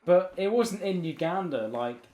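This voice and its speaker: A man's voice: high-pitched, lively intonation, measured pace, echoey room, over a noisy crowd. A tipsy man bantering casually as a podcast guest, joking in slangy language. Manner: jokey, slangy. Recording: clearly noisy amateur podcast recording.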